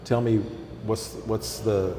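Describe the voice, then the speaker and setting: A man hosting an interview, asking quick questions with a light, joking manner. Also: fairly low pitch, steady tone, mellow, roomy sound, in a large hall, a little hiss or hum. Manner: jokey, quick questions with chuckles. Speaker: interview host. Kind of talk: casual interview.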